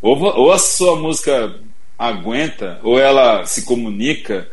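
A man's voice: medium pitch, very animated, unhurried. A middle-aged Brazilian man, a singer-songwriter guest, telling his story reflectively in a casual livestream interview.

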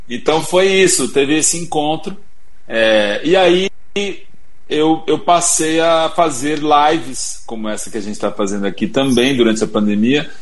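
A middle-aged Brazilian man, a singer-songwriter guest, telling his story reflectively in a casual livestream interview. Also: a little high.

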